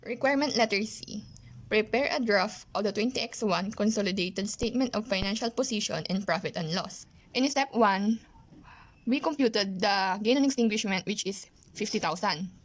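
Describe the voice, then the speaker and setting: A woman's voice: mid-range voice, normal speed, low-quality recording. A woman presenting an accounting lesson as scripted narration, methodically reciting in short phrases.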